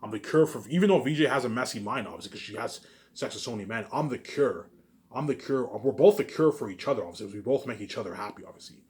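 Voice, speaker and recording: A man's voice: medium pitch, animated, quick pace, darkish tone. A man in a rambling informal monologue to viewers, boastful and circling back over the same phrases. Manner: boastful, self-aggrandizing.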